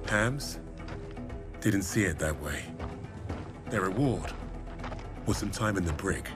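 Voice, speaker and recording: Dry wry soldier narration for a war game, deeper voice, music behind. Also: male voice, lively intonation, slow. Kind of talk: scripted cutscene narration.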